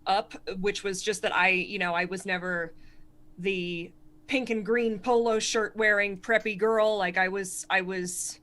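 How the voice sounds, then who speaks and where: A woman from Connecticut with a Connecticut accent, a stand-up comedian speaking fast and articulately in a casual interview, self-deprecating and laughing often. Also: medium pitch, steady tone, a bit bright. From Connecticut, USA.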